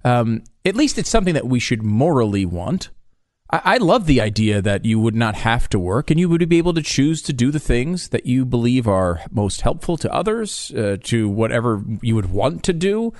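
A man co-hosting a talk radio show, arguing his side in an animated way. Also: mid-range voice, normal speed, dry recording, clean studio sound. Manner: animated, argumentative. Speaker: co-host. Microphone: studio microphone.

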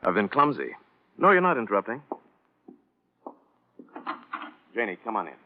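An actor playing a self-assured but defensive theater mentor in a classic radio drama, suave and evasive. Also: male voice, fairly low pitch, big pitch swings, quick pace. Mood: affable, uneasy. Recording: noisy, dull vintage radio recording.

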